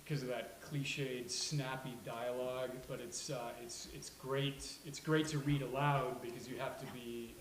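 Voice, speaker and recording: Male actor in casual discussion, mid pitch, halting and wry, roomy live recording with hiss. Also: steady tone. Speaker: actor discussing a table read. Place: live venue with a small studio audience. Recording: echoey room with hiss.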